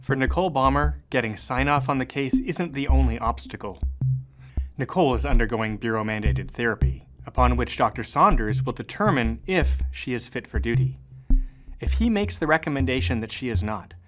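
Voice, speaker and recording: A man's voice: medium pitch, normal speed, a bit bright. A man reading scripted narration for an audio drama. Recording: music bed behind the voice.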